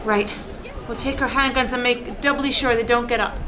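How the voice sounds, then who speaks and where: An actress playing a commanding security operations chief in a radio drama, giving clipped, urgent orders. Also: female voice, a little high, steady tone, moderate pace, crowd noise, noisy background, close to an everyday voice. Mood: brisk, controlled. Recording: loud music behind.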